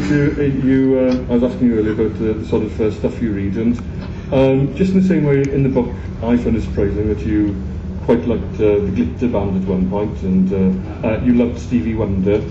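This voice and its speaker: A man's voice: lowish voice, normal speed, hardly any pauses. A man interviewing a guest, hesitant, with many restarts and fillers. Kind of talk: radio-style interview. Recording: echoey, distant, muffled, loud room noise.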